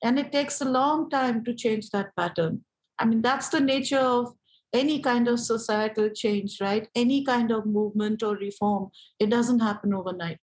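A woman with an Indian English accent and a fairly high voice. She talks thoughtfully, explaining her views as an activist in an interview. Her delivery is reflective and measured.

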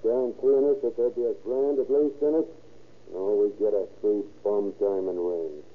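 A man's voice: fairly low pitch, steady tone, moderate pace, close to an everyday voice. Casting: male actor as slangy, bullying gangster leader, tough and sneering, vintage narrow-band radio crime play. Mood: curt, scornful. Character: male gang leader, tough sneering menacing 1930s hoodlum. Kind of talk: 1930s radio crime drama acting.

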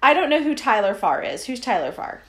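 A woman's voice: fairly high pitch, very animated. Chatty middle-aged woman, echoey room. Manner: teasing, chatty, halting with fillers. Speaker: podcast co-host, mother. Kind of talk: casual chat.

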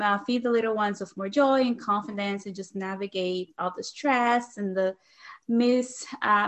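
A woman's voice: medium pitch, a bit bright. A woman in her thirties from Brazil, speaking English with a Brazilian Portuguese accent, answering as a guest dietitian in a friendly way with some fillers. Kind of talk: interview answers.

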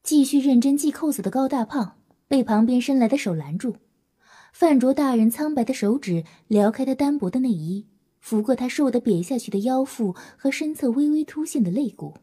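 A woman narrates an audio drama from a script. Her voice is fairly high yet soft-toned and mellow, with a slightly husky grain. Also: lively intonation, moderate pace.

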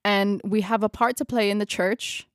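A young woman with a Tagalog mother tongue leads a bible study in English, her voice sitting at a middling pitch. She speaks reflectively and pauses to search for the right word. The recording is close and dry, with no room sound. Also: steady tone, no echo. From Philippines. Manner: reflective, searching for words, laughs at herself. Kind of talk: closing a bible study discussion.

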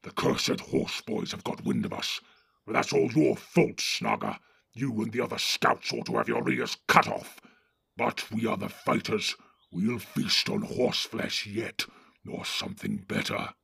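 A man voicing a brutal, boastful orc warlord in an audiobook, barking contemptuous orders in a gravelly voice. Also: lowish voice, big pitch swings, a little slow, sharp tone, no echo, studio quality, exaggerated voice. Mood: contemptuous commanding. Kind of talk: audiobook reading.

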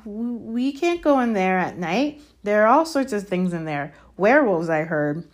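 Woman voicing a haughty, cowardly schoolboy, high-pitched. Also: animated, normal speed, close to an everyday voice. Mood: frightened. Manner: protesting, anxious, entitled. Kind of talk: audiobook character voice.

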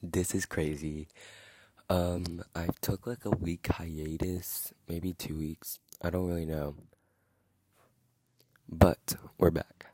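A man's voice: low voice, little pitch movement, takes it slowly, pauses often, mellow. A young man hosting a podcast, telling a story in a rambling, filler-heavy, indignant way. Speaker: podcast host. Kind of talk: casual storytelling monologue. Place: outdoors in wind.

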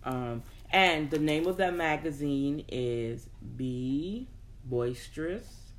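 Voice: medium pitch, animated, takes it slowly. A host chatting informally to an audience in a motivational style, thinking aloud and repeating words for emphasis. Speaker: host of a personal development show. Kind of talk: casual motivational talk to an audience.

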